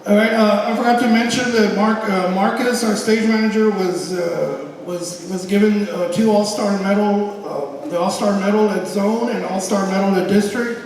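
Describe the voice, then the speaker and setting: A man informally announcing awards as a drama director, speaking haltingly and hesitantly to a theater audience. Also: high-pitched, darkish tone. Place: school theater auditorium with audience. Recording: echoey theater hall, distant mic, crowd noise.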